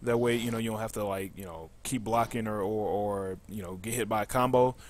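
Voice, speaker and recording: A man's voice: fairly low pitch, brisk, no echo. A man hosting a casual live chat show, putting questions to guests in a hesitant, wandering way with many filler asides. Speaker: host relaying questions from chat.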